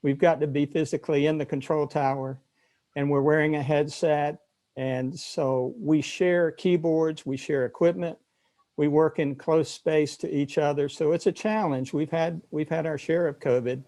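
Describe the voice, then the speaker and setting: A man's voice: a little high, fairly even intonation, moderate pace, warm tone. A man, a company executive, answering questions in a news interview.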